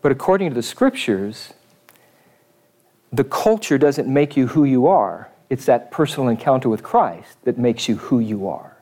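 Preacher, male, mid-pitched, thoughtful and measured delivery. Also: very animated, darkish tone. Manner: thoughtful, measured, reads quotes aloud. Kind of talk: sermon.

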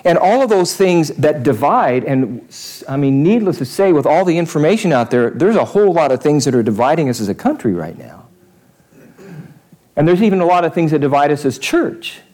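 A man's voice: a little high, sing-song, brisk, darkish tone. A male pastor giving a conversational sermon in church, repeating phrases for emphasis.

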